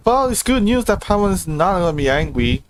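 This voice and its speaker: A man's voice: sits high, very animated, fairly quick, slight background noise. A man doing casual live game commentary as a streamer, in a hesitant, rambling way. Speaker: game livestreamer.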